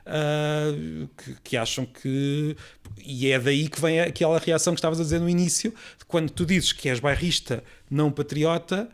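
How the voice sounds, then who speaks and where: A man's voice: on the high side, fairly even intonation, moderate pace. A young adult man with a European Portuguese accent, explaining ideas in a casual podcast chat with frequent restarts. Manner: explanatory, many restarts and hesitations.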